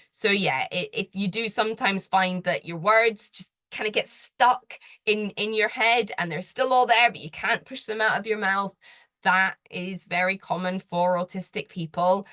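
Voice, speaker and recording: A woman's voice: on the low side, no room sound. A woman presenting slides, talking earnestly in a conversational way and putting questions straight to the listener. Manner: gets louder when enthusiastic. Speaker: presenter giving advice.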